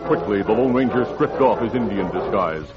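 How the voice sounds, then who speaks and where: A man's voice: on the low side, lively intonation, normal speed. A man narrating and announcing a western in dramatic old-time radio style. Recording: muffled vintage radio broadcast. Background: music.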